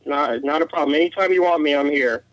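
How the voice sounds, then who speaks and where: A man's voice: a little high, quick pace, muffled recording, heavy background noise. A man speaking as a podcast guest, arguing his opinions emphatically in a casual conversational style.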